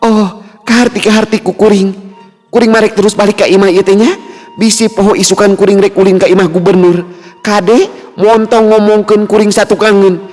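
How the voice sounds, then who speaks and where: Man putting on a blunt, squeaky-high village woman. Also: steady tone, brisk, darkish tone, natural voice. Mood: brisk. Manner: blunt, inquisitive chatter. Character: blunt, nosy, food-loving village woman Komala. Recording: long reverb, music bed.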